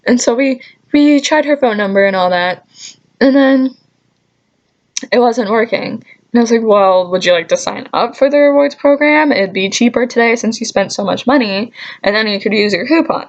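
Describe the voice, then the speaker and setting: A woman's voice: mid-range voice, dull audio. Young woman griping through casual stories. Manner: animated exasperated griping with sarcastic asides and mild swearing. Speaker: storytelling vlogger. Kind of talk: casual anecdote vlog, chatty.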